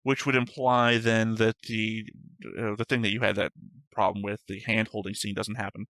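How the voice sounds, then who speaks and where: Bright-voiced man reading show notes. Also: lowish voice, little pitch movement, moderate pace, no echo. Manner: talkative, corrects himself often, swears casually. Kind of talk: casual chat reading notes aloud.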